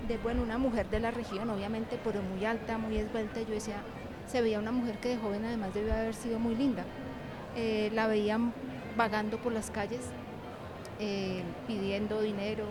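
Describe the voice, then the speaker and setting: A woman's voice: fairly high pitch, flat delivery, moderate pace, music in the background. A middle-aged woman giving conversational interview answers in an informal, meandering way. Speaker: university animation lecturer and author, interview guest. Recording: clear background noise of busy venue. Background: ambient noise of a book fair.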